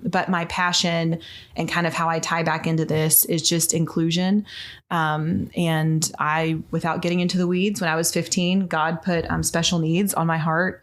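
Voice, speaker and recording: Tennessee woman, city grants director, upbeat, lowish voice. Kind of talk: interview talk.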